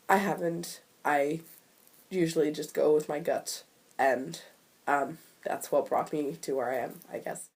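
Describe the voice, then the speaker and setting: A woman's voice: low voice, gravelly, a little mellow. A young woman vlogging to camera in a rambling, self-deprecating way, her voice a little nasal from a cold. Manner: rambling, self-deprecating, many ums and restarts. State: recovering from a cold, slightly nasal. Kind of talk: casual chat to camera.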